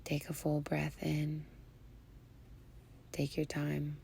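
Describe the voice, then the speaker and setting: Hushed, creaky woman guiding a slow meditation. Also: deep voice, monotone, a little hiss or hum. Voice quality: breathy, near-whispered. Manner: soothing, hushed, unhurried phrasing with long pauses. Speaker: meditation guide. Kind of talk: guided meditation narration.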